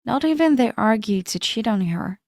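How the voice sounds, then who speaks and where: A woman's voice: fairly high pitch, animated, moderate pace, a little mellow, no room sound, studio quality. A woman reading the lines of a polite, well-read married man in a storybook narration, with little change from her own voice. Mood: explaining.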